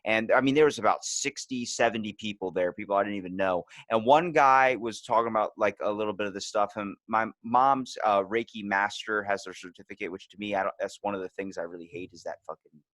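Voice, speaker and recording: A man's voice: lowish voice, fairly quick, fairly bright tone, no room sound. A man chatting casually and telling anecdotes as a podcast host, in blunt, profane, slangy language.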